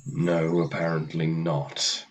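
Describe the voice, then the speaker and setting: Man acting as Death, low, slow and husky. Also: heavily acted. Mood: curt, annoyed. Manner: deadpan, curt, formal, precise. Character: Death, weary, stern, gentlemanly and easily irritated. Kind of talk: radio play acting.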